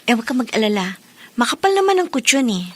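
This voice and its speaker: A cheeky younger sister in a radio drama, a Filipino aunt who banters with sass. Her voice is fairly high and very lively in melody. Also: fast, husky, exaggerated voice. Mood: sassy teasing. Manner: sassy, bantering.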